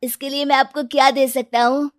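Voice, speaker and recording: A woman's voice: high voice, flat delivery, fairly quick, dry recording, clean studio sound, pitched above the performer's own voice, close to an everyday voice. A woman voicing an earnest, hardworking and grateful poor young boy in a cartoon story. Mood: surprised, grateful.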